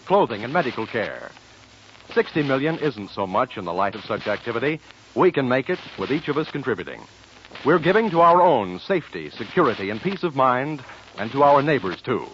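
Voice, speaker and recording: A man's voice: medium pitch, a bit bright. An American man announcing in a formal, earnest, scripted 1940s radio style. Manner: formal, earnest, measured phrasing. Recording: muffled, faintly noisy 1940s radio recording.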